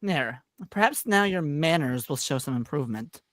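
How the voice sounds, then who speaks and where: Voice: mid-range voice, lively intonation, normal speed, no room sound, voice pushed down, lightly acted. A performer reading the part of a theatrical, teasing godlike alien trickster in a live sci-fi script read. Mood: grandiose wheedling.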